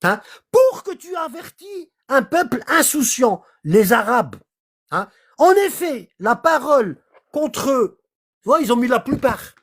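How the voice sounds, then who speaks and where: A livestreamer in casual commentary, explaining scripture in an animated way and often checking in with short questions to the listener. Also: sits high, measured pace. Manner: animated, acts out little dialogues, keeps checking in with "hein?" and "d'accord?". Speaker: livestreamer explaining Quran verses.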